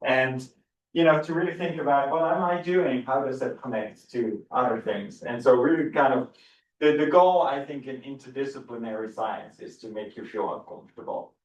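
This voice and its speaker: A man's voice: medium pitch, normal speed. Middle-aged dutch guy teaching a seminar, keeps restarting his words, heard from way back in an echoey room. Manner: enthusiastic, repeats words and restarts often. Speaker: biology professor giving a seminar. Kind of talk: university seminar lecture. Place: lecture room. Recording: echoey room, far from the mic.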